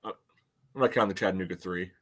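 Male sports podcast co-host, lower register, conversational and halting, padding his analysis with filler phrases. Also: steady tone, a bit bright. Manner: hesitant, filler-heavy phrasing with frequent "you know". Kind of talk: casual soccer analysis chat.

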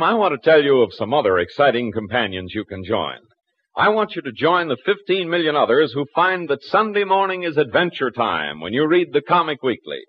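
A man's voice: a little high, slightly rough, narrow, tinny audio. A man narrating and announcing an old 1940s radio adventure serial in scripted, dramatic broadcast style.

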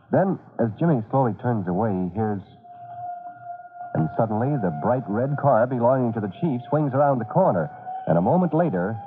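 A man's voice: deep voice, slight background noise. A man narrating an old-time radio drama as a dramatic storyteller, reading from a script. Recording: muffled lo-fi vintage radio recording.

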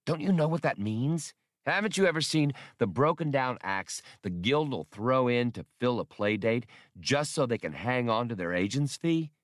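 A man voicing a brash, cocky male starship captain who talks fast and excitably. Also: mid-range voice, no room sound, clean studio sound, pitched above the performer's own voice, close to an everyday voice. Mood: excited.

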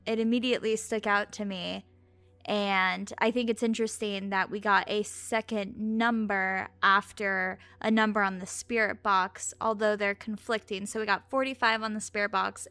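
A woman's voice: mid-range voice, fairly bright tone, clean tone, no echo. An American woman talking casually outdoors as she hosts a ghost hunt. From United States. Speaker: paranormal investigator and host. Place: outdoors in a park.

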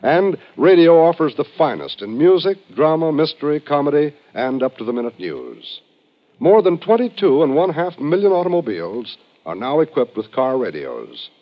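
An American man reading scripted announcements in old-time radio style. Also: a little high. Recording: dull vintage radio broadcast. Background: music.

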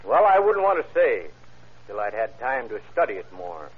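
A man's voice: medium pitch, sing-song, moderate pace, slightly rough. An actor playing a cautious, exacting police ballistics man who speaks carefully and commits to nothing, in old radio drama style. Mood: firm, cautious. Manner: careful, noncommittal. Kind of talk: 1940s-50s radio crime drama acting. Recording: dull vintage radio recording.

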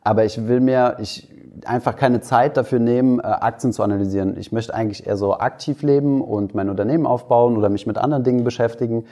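A man's voice: medium pitch, steady tone, quick pace, hardly any pauses, mellow. A young man introducing himself on a school panel, talking casually and hesitantly with many fillers. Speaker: panelist, finance YouTuber.

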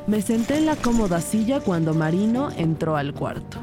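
A woman's voice: mid-range voice, very animated, normal speed, natural voice. A woman playing a composed police detective who narrates her investigation in a scripted crime audio drama. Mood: composed, narrating. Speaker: narrator and lead character. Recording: loud music behind the voice. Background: music.

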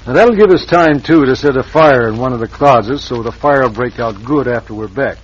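An actor playing a scheming, bossy crooked western lawyer in old-time radio style, with a folksy, commanding drawl. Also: male voice, medium pitch, a little fast, breathy edge, lightly acted. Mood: impatient, insistent. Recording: dull, noisy vintage radio recording.

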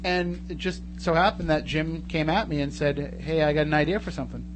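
Chatty male radio host, higher-pitched. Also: fairly even intonation, a little fast. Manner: joking, chatty. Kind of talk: casual interview banter. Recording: noticeable hum and background noise.

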